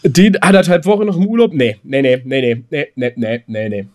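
A man's voice: medium pitch, a little fast. A man with a German accent co-hosting a casual podcast, explaining things and putting questions to his partner and the listeners. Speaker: podcast co-host.

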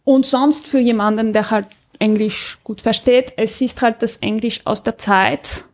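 A woman's voice: on the high side, steady tone, a little slow, darkish tone. A woman, giving a casual review as a panelist on a podcast.

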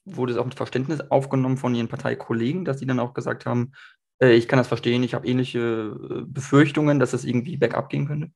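Hesitant male interviewer with gravelly voice. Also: medium pitch, steady tone, fast, a little mellow. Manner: hesitant, many fillers. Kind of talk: interview questions.

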